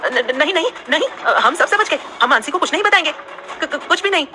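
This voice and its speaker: A woman doing a high stammering young woman. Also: fast talker, background noise, close to an everyday voice. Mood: panicked. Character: young woman Tanu, nervous and cheeky.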